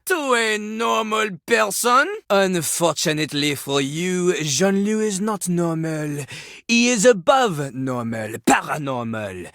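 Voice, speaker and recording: Here is a living toilet from a video game, boastful and scornful, who fancies himself a rap genius. The male voice sits high and leaps around theatrically, full of pomp. It is recorded dry and close, with faint music underneath. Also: vocal fry, a bit bright, no room sound, exaggerated voice. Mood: smug, condescending. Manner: pompous, talks of himself in the third person.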